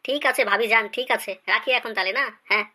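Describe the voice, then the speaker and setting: A woman's voice: fairly high pitch, fast talker, sharp tone. A woman voicing an eager, scheming character in a cartoon comedy. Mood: gleeful, scheming.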